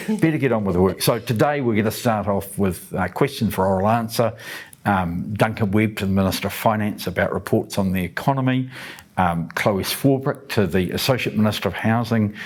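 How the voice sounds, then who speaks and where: Chatty older New man, lowish voice, easy informal delivery. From New Zealand. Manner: relaxed, chatty, jokey asides. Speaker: parliamentary speaker hosting a daily update. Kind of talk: casual explainer of the day's business.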